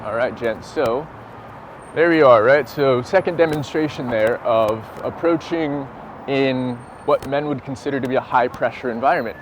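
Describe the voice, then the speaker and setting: A man's voice: medium pitch, moderate pace. A man in his twenties with an American accent, chatting casually and presenting as a dating coach. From San Francisco, United States. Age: 20s. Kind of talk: casual street chat and talk to camera. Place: outdoors on a busy London street. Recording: noisy city street, traffic behind.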